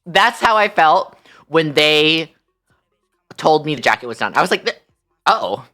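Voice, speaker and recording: Very low-voiced woman livestreamer. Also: a little slow, soft background music. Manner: animated, incredulous, slangy. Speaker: livestream host. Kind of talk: casual livestream storytelling.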